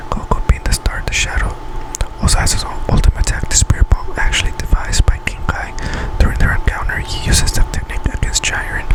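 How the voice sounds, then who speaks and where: A man speaks entirely in a breathy whisper, as in an ASMR video. He reads out a list of facts haltingly, tripping on words and doubling back to repeat them. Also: poor audio. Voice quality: breathy unvoiced whisper throughout. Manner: halting delivery, stumbles and repeats words while reading. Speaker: ASMR video creator and host.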